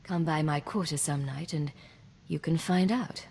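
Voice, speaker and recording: A confident, lowish-voiced intelligence agent. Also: female voice, measured pace, creaky, a little mellow, slightly rough, full character voice. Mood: flirtatious. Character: confident, wry female imperial intelligence agent, commanding officer. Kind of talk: scripted video game dialogue. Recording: long reverb, audible background noise.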